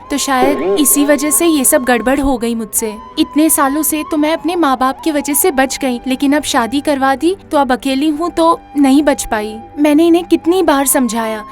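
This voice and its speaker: A high, sincere young cartoon bride, voiced by a woman, who explains and pleads at length. Faint music sits behind her. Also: lightly acted. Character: young new bride, apologetic and earnest. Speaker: wife in a cartoon story. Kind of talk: animated cartoon dubbing.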